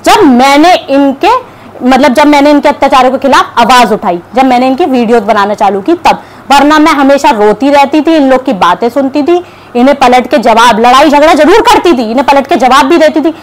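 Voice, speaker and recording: A woman's voice: high voice, expressive, a little fast. A young woman vlogger giving combative reaction commentary to camera, scolding and sarcastic. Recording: clear background noise.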